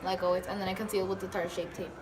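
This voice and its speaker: A young American woman with a voice hoarse from being sick, chatting through a casual makeup tutorial in a low-energy, hesitant way. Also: flat delivery, very fast. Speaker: makeup vlogger. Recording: very noisy room with background chatter. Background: people and children talking.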